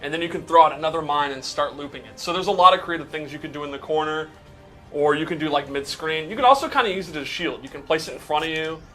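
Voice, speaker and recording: A man's voice: a little high, fast talker, fairly bright tone, soft background music. A man giving a casual, explanatory breakdown in the style of gameplay commentary. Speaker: game commentator breaking down a character.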